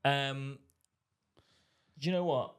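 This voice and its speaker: A man chatting as a comedian guest who also runs a football podcast. He talks in an easygoing, halting way with plenty of fillers. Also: medium pitch, fairly even intonation, fairly bright tone, slight background noise. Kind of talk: casual podcast chat.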